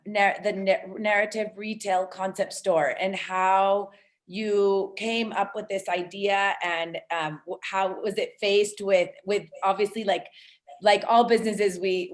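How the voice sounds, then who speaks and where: A woman moderating a panel on a video call, asking polite, hesitant questions with restarts. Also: medium pitch. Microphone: laptop webcam on a video call.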